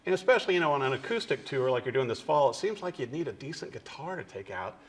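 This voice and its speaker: Midwestern male TV host in his forties, mid-pitched, chatty and affirming. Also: big pitch swings, fast talker. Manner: chatty, affirming, plugs products. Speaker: TV show host. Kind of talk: interview chat.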